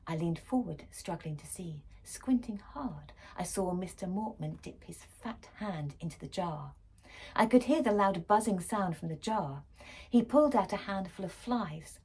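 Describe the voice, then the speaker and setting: A woman reading a children's story expressively and dramatically, shifting between hushed and emphatic delivery. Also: lowish voice, animated, normal speed, breathy edge. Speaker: story reader.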